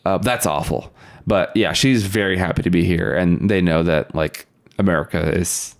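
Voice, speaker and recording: A man's voice: low-pitched, moderate pace. A man whose first language is Russian, chatting informally as a podcast guest, telling stories and often chuckling as he talks. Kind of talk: casual storytelling in conversation.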